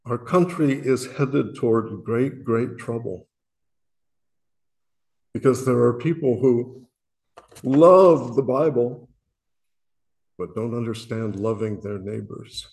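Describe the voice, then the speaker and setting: A man from Illinois with a booming voice, speaking earnestly and self-deprecatingly to a worship meeting, often pausing and restarting his sentences. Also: darkish tone. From Illinois, USA. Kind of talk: reflective spoken message.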